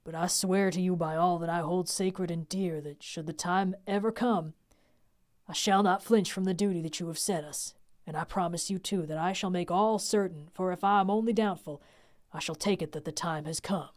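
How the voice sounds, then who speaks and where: A woman voicing a rough, humble frontiersman who swears a solemn oath in a plain voice. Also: lowish voice, steady tone, moderate pace, a little mellow, natural voice.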